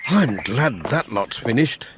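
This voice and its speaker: A man's voice: medium pitch, big pitch swings, slow pace, noisy background. A man voicing a friendly, overworked village postman in a children's audiobook, with a Northern English accent and a folksy, grumbling manner. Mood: weary complaining.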